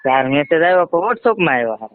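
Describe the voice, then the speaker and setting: A man's voice: fairly high pitch, big pitch swings, moderate pace, slight background noise. A man with a colloquial Saurashtra Gujarati accent on a recorded phone call, casually chatting in a stubborn, naive, confused-sounding way. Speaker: prankster answering a marketing call. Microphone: phone line. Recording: muffled narrow-band phone call recording.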